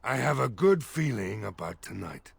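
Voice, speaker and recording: A man's voice: on the low side, big pitch swings, normal speed, creaky, breathy edge, dry recording, exaggerated voice. An actor playing an earnest village mayor rallying his militia in a fantasy video game. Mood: confident, relieved. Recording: game audio with music behind.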